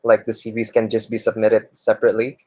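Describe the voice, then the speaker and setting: A young man, a student introducing himself informally and pausing often mid-phrase. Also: lowish voice, completely flat delivery, a little mellow, dry recording. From Indonesia. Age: young adult. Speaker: computer science student presenting. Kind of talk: informal self-introduction in a class presentation.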